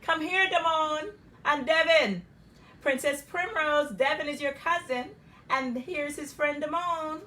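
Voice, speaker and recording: A woman's voice: high voice, slow, few pauses, sharp tone, far from the mic, pitched above the performer's own voice, exaggerated voice, poor audio. A woman voicing a friendly, welcoming middle-aged uncle in a storybook, reassuring his niece.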